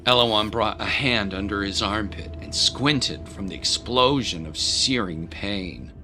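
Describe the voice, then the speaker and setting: A man's voice: fairly low pitch, a little slow, background noise. A man giving grave, literary, scripted narration for a dramatic story. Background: music bed.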